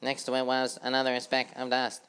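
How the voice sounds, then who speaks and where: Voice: mid-range voice, completely flat delivery, a little fast, fairly bright tone, smooth, voice pushed up, lightly acted. A narrator voicing a fussy housefly who sweeps the road and never wants to rest. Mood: eager. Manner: eager, fussy.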